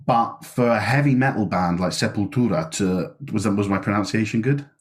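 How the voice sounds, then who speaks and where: A man's voice: lowish voice, normal speed. A man co-hosting a casual music podcast, chatty and easygoing, reading off lists of facts and ending points with tag questions. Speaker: podcast co-host. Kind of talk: casual chat.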